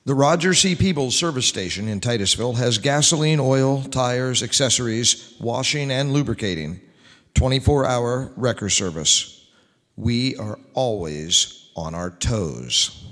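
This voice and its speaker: A man's voice: mid-range voice, expressive, measured pace, fairly bright tone. A middle-aged man hosting a live radio-style show from a stage, reading scripts in a wry manner. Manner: wry, joking asides. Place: large church sanctuary before a seated audience. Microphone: microphone on a stand. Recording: long hall reverb.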